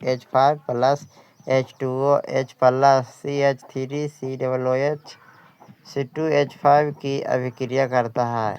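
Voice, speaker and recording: Smooth, clear-voiced Indian man teaching, fairly monotone. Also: medium pitch, highs cut off. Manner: halting, repeats phrases. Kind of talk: exam-prep lesson, reading out questions and answers.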